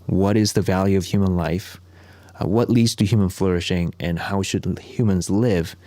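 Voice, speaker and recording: Deep-voiced man, native Korean speaker. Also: moderate pace, no echo. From South Korea. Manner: relaxed, many filler sounds and restarts. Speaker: podcast guest, ministry worker. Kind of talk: conversational storytelling.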